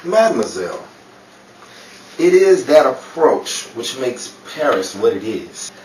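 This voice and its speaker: A man's voice: medium pitch, big pitch swings, a little slow, a little mellow. Flirtatious, self-assured Parisian charmer, played by a man. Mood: smooth, confident. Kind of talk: old-time radio romantic comedy acting. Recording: roomy, distant, noisy audience recording.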